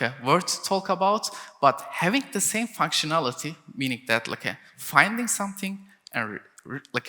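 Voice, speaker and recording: A man's voice: fairly high pitch, pushed and bright. A young man from Turkey, giving a technical conference talk in English, often linking ideas with "and then" and restarting phrases. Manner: frequent "and then" and restarts, non-native phrasing. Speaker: conference speaker. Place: conference hall. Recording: reverberant conference hall.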